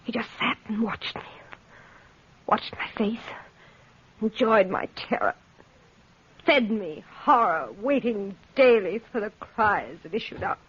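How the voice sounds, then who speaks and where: An actress playing a desperate, tearful wife haunted by horror in an old-time radio play, pleading melodramatically. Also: female voice, mid-range voice, lively intonation, unhurried, long pauses, fairly bright tone, breathy edge, exaggerated voice. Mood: haunted dread. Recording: muffled, noisy vintage radio recording.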